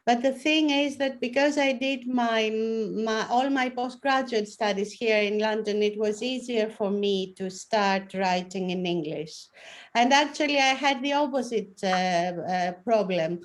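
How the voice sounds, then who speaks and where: A woman's voice: on the high side, measured pace. A middle-aged woman with a Greek accent giving candid, self-mocking advice on a video call. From Greece. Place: room at home, indoors.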